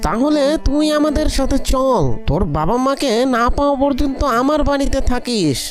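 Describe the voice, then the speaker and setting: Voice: high voice, expressive, moderate pace. A performer voicing a sly but kindly jackal husband in a Bengali cartoon, grumbling and colloquial. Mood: concerned. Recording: music behind the voice.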